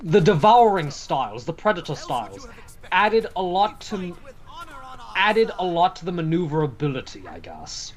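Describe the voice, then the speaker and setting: Rambling man with a lively voice. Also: a little high, moderate pace, poor audio. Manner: rambling, self-correcting, frequent "I guess". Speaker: let's-play host. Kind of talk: casual co-op gameplay chat.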